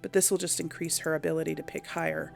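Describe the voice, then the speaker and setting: Woman with a creaky voice, thinking aloud. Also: low-pitched, level tone, fast, faint music behind. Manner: hesitant, musing. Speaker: video game let's player. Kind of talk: casual game commentary, thinking aloud.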